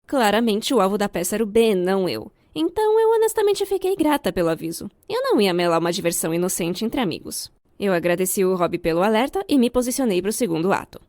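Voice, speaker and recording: A woman's voice: medium pitch, big pitch swings, fast, few pauses, a little mellow, no room sound, clean studio sound. A young adult woman with a Brazilian accent giving scripted, comedic storytime narration in a theatrical, wry way. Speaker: storyteller, fan-dub voice actor.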